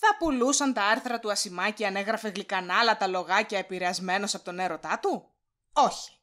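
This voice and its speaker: A woman's voice: mid-range voice, a bit bright, natural voice. A woman playing a vain, scheming boss in an audio drama, with a condescending, cutting manner. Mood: condescending, persuasive. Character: haughty scheming vain female boss, cold villain. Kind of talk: Greek audio drama acting.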